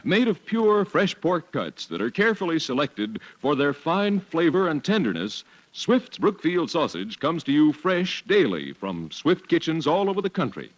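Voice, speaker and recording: A man's voice: a little high, very animated, moderate pace, dull audio. A man announcing in a scripted 1940s radio style, with folksy, polished salesmanship.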